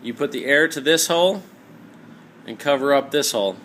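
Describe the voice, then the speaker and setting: A man's voice: mid-range voice, lively intonation, moderate pace, a bit bright. A man giving an informal, hands-on mechanical tutorial, explaining step by step in a plainspoken way. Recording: roomy echo, clear background noise.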